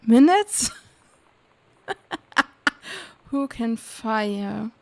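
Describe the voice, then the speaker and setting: A woman doing casual livestream game commentary, reading on-screen text aloud in a halting, puzzled way, muttering guesses as she goes. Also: a little high, expressive, gravelly, warm tone. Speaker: game streamer.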